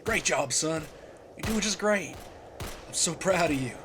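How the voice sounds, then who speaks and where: A man acts out an earnest father in charge of a survivor camp in a comic dub. His voice sits quite high, and he talks firmly and reassuringly. There is clear background noise behind him. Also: lively intonation, unhurried. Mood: proud. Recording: noticeable background ambience noise.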